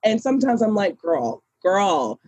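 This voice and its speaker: A woman with a low speaking voice, a guest on a podcast, talking in an animated, emphatic way and laughing often. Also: measured pace, dull audio. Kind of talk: casual chat.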